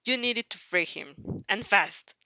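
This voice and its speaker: A woman reading a dramatic fan fiction story aloud as narrator, halting now and then over the text. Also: medium pitch, sharp tone, dry recording, poor audio. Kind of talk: dramatic audio story narration in second person.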